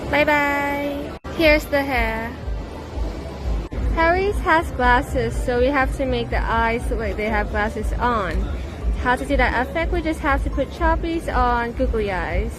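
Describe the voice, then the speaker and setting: A woman's voice: high voice, unhurried, over music. A female student giving casual step-by-step narration for a craft tutorial.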